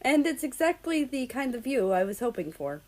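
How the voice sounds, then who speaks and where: A woman voicing an easygoing, teasing male travel companion in a story reading, relaxed and thoughtful in manner. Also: sits high, moderate pace, voice pushed up. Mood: awestruck.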